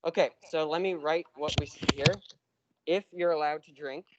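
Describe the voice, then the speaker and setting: A man teaching a university math class, explaining step by step and slowing down on key terms. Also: a little high, fairly even intonation, lo-fi sound. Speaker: math lecturer. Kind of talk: classroom lecture.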